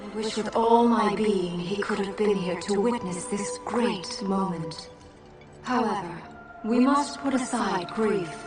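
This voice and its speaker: A woman's voice: medium pitch, a little slow, over music, lightly acted. An actress playing a solemn female program leader giving a formal speech in a game cutscene. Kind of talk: video game cutscene acting. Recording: echoey and distant, score behind.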